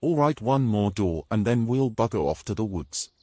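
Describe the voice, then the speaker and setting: A British man playing a bold, gruff, teasing knight in a fantasy audio drama, with a wry, brash manner and old-fashioned turns of phrase. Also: fairly low pitch, expressive, normal speed, dark tone, no room sound. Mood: wry, confident.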